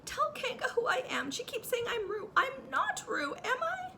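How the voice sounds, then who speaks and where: A woman voicing a tiny, timid male piglet with a very high, squealing voice. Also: wildly swooping pitch, moderate pace, bright, forceful tone, a little hiss or hum, exaggerated voice. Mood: pleading.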